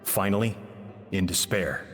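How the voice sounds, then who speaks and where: An actor playing a melancholy, self-reproaching adventurer in a video game, reading out his past in a somber, measured, wistful way. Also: low voice, fairly bright tone. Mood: somber regret. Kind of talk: dramatic journal monologue in a video game. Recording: long reverb on the voice. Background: music.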